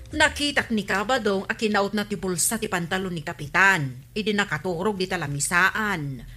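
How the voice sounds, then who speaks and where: A woman's voice: medium pitch, moderate pace, pushed and bright, soft background music, lightly acted. An actress playing a gossipy village woman in an Ilocano radio comedy, with an Ilocano accent. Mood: confiding. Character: gossipy village woman telling what was seen.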